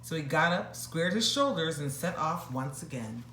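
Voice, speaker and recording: A woman reading a rhyming bedtime story aloud with expressive narration. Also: low-pitched, moderate pace, reverberant, a little hiss or hum, low-quality recording.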